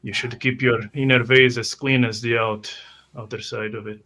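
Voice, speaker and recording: A man improvising as a tabletop player, halting and earnest, with formal wording. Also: medium pitch, level tone, sharp tone. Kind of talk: improvised roleplay, describing his character's actions.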